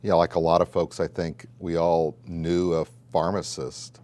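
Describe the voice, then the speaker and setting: A middle-aged man with an American accent, telling his story in a measured, conversational way as he answers interview questions. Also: low-pitched, little pitch movement, mellow. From Southern Illinois, United States. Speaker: college dean and pharmacist.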